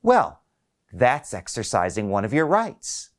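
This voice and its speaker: A man's voice: mid-range voice, wildly swooping pitch, a little slow. A man voicing a detached, officious female call agent who speaks in formal, bureaucratic phrases in a short story. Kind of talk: acted short story dialogue.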